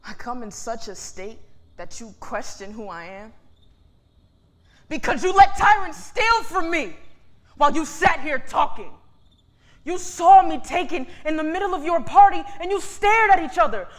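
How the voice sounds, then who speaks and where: A woman's voice: sits high, big pitch swings, normal speed. A woman playing a battered, defiant young woman in a staged reading, shaming her townsfolk in impassioned, declamatory speech. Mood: bitter, wounded.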